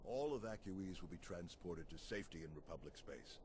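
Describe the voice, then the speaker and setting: A man's voice: low-pitched, lively intonation, moderate pace, background noise. An actor playing a polite, genial military general in a sci-fi video game, formal in his phrasing. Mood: reassuring, businesslike. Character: courteous, genial male Republic military general. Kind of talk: video game cutscene acting.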